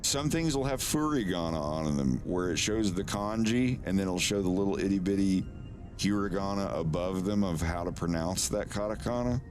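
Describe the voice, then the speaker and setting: A man streaming live in casual chat, relaxed, often stammering and repeating words and swearing now and then. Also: deep voice, moderate pace, over music. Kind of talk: casual live-stream chat while doing language lessons.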